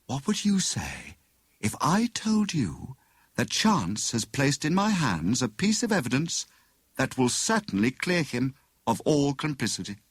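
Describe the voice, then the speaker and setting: A man voicing a clever, excitable married woman who plays amateur detective, with a brisk, knowing manner. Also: medium pitch, husky, no echo, studio quality, pitched above the performer's own voice, heavily acted. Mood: poised, leading.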